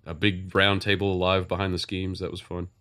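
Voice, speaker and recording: A man with a low, deep voice hosts a podcast. He chats loosely and rambles, stopping and restarting his sentences often. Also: level tone, moderate pace, fairly bright tone. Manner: rambling, with many fillers and restarts. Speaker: podcast host. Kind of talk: casual podcast chat.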